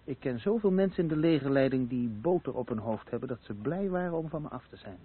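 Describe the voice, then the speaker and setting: A man's voice: medium pitch, expressive, fast talker, slightly rough. An actor playing a bitter, sardonic male neighbour in a naturalistic radio play, speaking with scornful emphasis. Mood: mocking. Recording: tinny narrow-band old radio recording, noisy.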